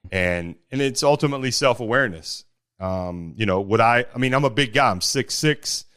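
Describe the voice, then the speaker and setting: A man with a big, deep voice, talking bluntly about running a startup in a casual podcast conversation. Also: animated, normal speed, smooth, dry recording, clean studio sound. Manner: blunt and forceful, with mild swearing. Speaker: startup founder.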